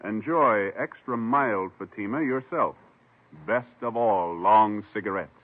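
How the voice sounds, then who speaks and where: A man announcing in a measured, formal, scripted 1950s radio style. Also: lowish voice. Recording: muffled old radio recording, loud music.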